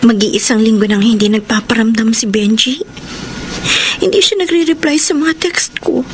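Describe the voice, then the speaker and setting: A woman's voice: on the high side, moderate pace, music in the background, lightly acted. An actress playing an anxious young woman waiting for her lover in a Filipino radio melodrama. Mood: worried, fearful. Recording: noisy background ambience.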